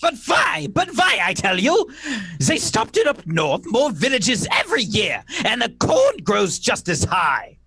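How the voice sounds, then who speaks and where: Man playing a high-voiced, defiant, animated young schoolmaster. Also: unhurried, husky, full character voice. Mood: impassioned pleading. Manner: impassioned, insistent. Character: defiant, reasoning schoolmaster pleading against village tradition. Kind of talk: amateur radio play reading.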